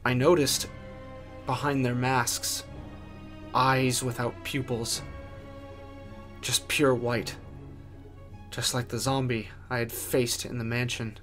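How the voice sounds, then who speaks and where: A man narrating a first-person horror story in a theatrical way, with deliberate pauses. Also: mid-range voice, level tone, fairly bright tone. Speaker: writer and narrator. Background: music.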